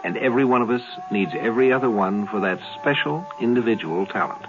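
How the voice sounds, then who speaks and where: A man with a fairly low voice delivers a homespun moral as a radio host. His phrasing is plain and deliberate, with small pauses. Music plays clearly behind him on a dull vintage 1950s recording. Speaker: host giving closing commentary.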